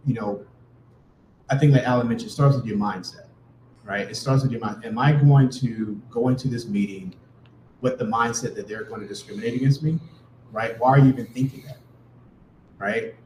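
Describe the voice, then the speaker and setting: A man's voice: mid-range voice, normal speed, a little mellow, a little hiss or hum. A man speaking casually as a panelist, filling his talk with "you know" and "right". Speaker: panelist entrepreneur. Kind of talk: panel discussion answer. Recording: echoey room.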